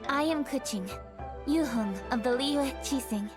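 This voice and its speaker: A woman's voice: high voice, unhurried, music in the background. A woman voicing a composed, dutiful young noblewoman official in a video game, polite, formal and decisive. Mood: businesslike.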